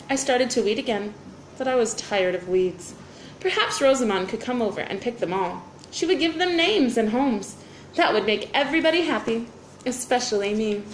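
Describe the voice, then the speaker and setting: Animated woman reading a children's story. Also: a little high. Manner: expressive, deliberate phrasing. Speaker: teacher reading aloud. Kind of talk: children's storybook read-aloud. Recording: roomy echo, faint background noise.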